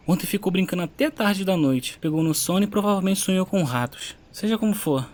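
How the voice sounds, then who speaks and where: Man as a stern father, fairly high voice, firm lecturing tone. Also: close to an everyday voice. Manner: scolding, then softly soothing. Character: stern but loving father.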